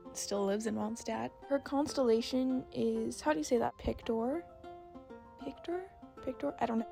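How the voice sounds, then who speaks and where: Young woman chatting casually, kinda high voice w lots of fry, rambly and self-deprecating. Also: a little mellow. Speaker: video creator and artist. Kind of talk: casual chatty voice-over commentary. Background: background music.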